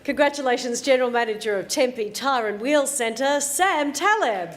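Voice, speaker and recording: A woman's voice: high-pitched, expressive, moderate pace. A woman hosting an awards ceremony with a scripted stage presentation. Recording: live event hall with crowd noise. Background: audience and room noise.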